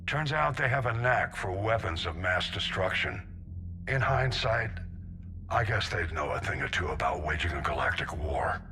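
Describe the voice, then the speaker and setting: Forceful, low-voiced navy admiral. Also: male voice, lively intonation, normal speed, a little hiss or hum, exaggerated voice. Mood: grim, frank. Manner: blunt, authoritative military briefing delivery. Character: gruff, seasoned human navy admiral, frank and loyal. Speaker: military admiral. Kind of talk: scripted video game acting.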